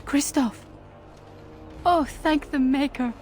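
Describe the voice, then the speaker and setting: An actress playing a distraught, grieving wife who exclaims with raw emotion in a video game. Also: female voice, sits high, takes it slowly, darkish tone, faint music behind. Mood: shocked, pleading.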